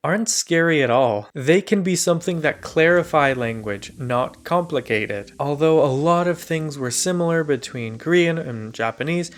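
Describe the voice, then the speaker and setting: A man who grew up partly in Bulgaria gives a calm, scripted, reflective narration about learning languages for his channel. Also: fairly high pitch, moderate pace, few pauses.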